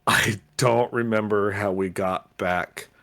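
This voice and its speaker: A man's voice: on the low side, fairly even intonation, takes it slowly, vocal fry, fairly bright tone. A man co-hosting a casual podcast, rambling with lots of fillers and restarts. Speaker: podcast co-host. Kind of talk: casual chat. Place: Los Angeles area.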